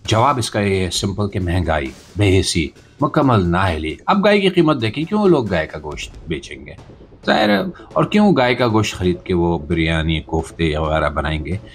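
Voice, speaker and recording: A man's voice: deep voice, big pitch swings, normal speed. A man with a Pakistani accent delivering satirical news commentary as a podcast host, in a sarcastic, theatrical, rhetorical manner.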